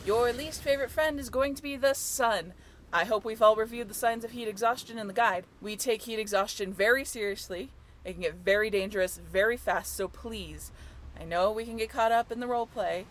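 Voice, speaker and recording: A woman's voice: a little high, moderate pace, fairly bright tone, a little hiss or hum, natural voice. A woman playing an upbeat young tour guide on a sailing ship in a scripted audio roleplay, with a chatty, playful way of talking. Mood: brisk, instructive. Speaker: role-play voyage guide.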